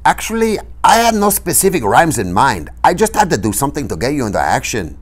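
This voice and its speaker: A man voicing a quick-witted swordsman and loyal friend in a storybook, speaking with urgent emphasis. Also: mid-range voice, huge pitch swings, voice pushed up. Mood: apologetic.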